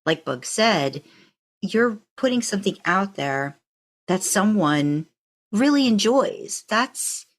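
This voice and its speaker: A woman's voice: medium pitch, lively intonation, takes it slowly. A woman co-hosting a podcast, talking in a casual, conversational style. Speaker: podcast co-host. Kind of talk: casual chat and podcast sign-off.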